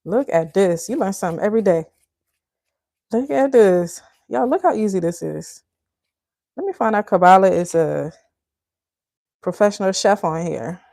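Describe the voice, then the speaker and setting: A woman's voice: mid-range voice, moderate pace, gravelly, pauses often, warm tone, no room sound, studio quality. A woman from Liberia hosting a live cooking stream, chatting casually with viewers and calling them y'all. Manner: chatty, addresses viewers as y'all, laughs often. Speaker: cooking channel host.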